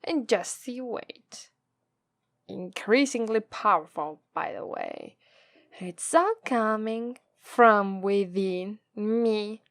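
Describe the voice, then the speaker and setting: A woman with an Italian accent voicing a gloating, teasing female demon captor in a slow, drawling ASMR roleplay. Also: mid-range voice, lively intonation, a bit bright, dry recording. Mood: boastful, tipsy. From Italy. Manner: slow, drawling, taunting, playful menace.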